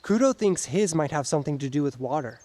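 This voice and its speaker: A man plays a young soldier in an audio drama. His voice is on the high side for a man, and he talks plainly and casually, as if reporting to a superior he knows well. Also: animated, fast talker, dark tone, big-room sound, natural voice. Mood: matter-of-fact.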